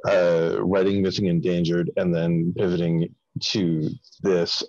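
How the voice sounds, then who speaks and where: A playwright speaking in a casual interview over a video call, halting and restarting often. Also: male voice, low-pitched, darkish tone, no room sound. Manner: halting, many restarts and fillers. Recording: dull video call audio.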